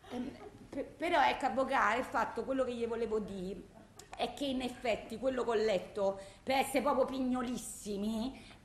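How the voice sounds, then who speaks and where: A high-pitched, distraught wife with a thick Roman way of speaking, pours out her case to her lawyer. She rambles, repeats herself and justifies everything in agitated, colloquial bursts. The radio monologue rings in an echoey room. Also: a bit bright. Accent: Roman Italian. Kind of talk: theatrical radio monologue, framed as phone messages. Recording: roomy, echoing sound.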